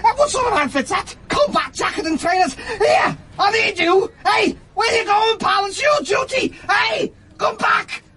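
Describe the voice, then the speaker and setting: Frantic shouting man, very high-pitched. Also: animated, a little slow, hardly any pauses, crowd noise. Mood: frantic, indignant. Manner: blunt, urgent, slangy yelling. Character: frantic, brash working-class expectant father, shouting. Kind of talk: radio drama acting.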